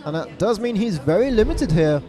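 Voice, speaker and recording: A man giving live play-by-play commentary on a card game match, stressing repeated words and correcting himself quickly. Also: high voice, sing-song, over music. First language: English. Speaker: esports caster. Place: event venue abroad.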